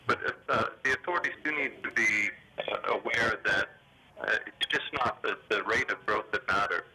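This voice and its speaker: A man giving formal, hesitant economic commentary in a phone interview, speaking in a measured, official way over a telephone. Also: on the low side, breathy edge. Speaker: development bank official being interviewed. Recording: sharp, grainy telephone line.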